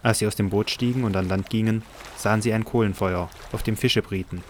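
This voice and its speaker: A man with a low voice narrates scripture for an audio drama. He reads from a script as the storyteller. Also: steady tone, brisk, slight background noise.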